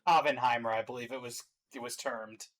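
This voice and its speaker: A man's voice: mid-range voice, steady tone, a little fast, a bit bright. A man co-hosting a reaction video, giving casual, opinionated commentary on game trailers in a wry way.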